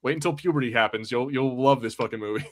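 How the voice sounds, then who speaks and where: A man's voice: mid-range voice, fast talker. A man hosting a film podcast in casual banter, joking and swearing freely in an informal way. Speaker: podcast host.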